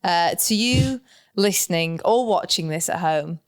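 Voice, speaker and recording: A woman co-hosting a casual, comedic podcast from a flat, jokey and effusive, swearing casually. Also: on the low side, measured pace. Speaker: podcast co-host.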